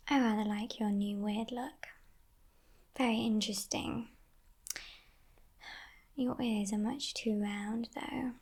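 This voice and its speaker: A woman voicing a teasing, possessive female demon captor in a breathy, mock-polite whisper, as ASMR roleplay. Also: on the high side, slight background noise. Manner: slow, hushed, mock-polite, condescending.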